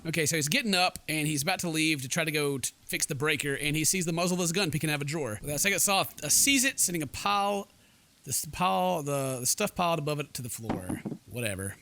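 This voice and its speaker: A man's voice: fairly high pitch, bright, forceful tone. A man hosting a let's play, reading game text aloud in a playful mock-dramatic way with jokey asides. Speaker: gaming video host.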